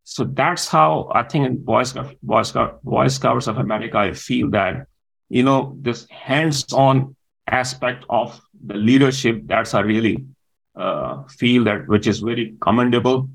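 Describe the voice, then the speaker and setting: A man in his forties with a gravelly, creaky voice. His is Urdu, and he answers interview questions at a middling pitch, pausing often on "uh". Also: a bit bright, low-quality recording. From Pakistan. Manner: hesitant, with frequent "uh" fillers. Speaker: guest.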